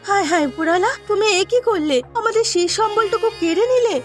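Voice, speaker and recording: A woman playing a gentle, anxious young daughter of a poor village family, plaintive and pleading, in a melodramatic cartoon. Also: very high voice, fast, faint music behind. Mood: anguished.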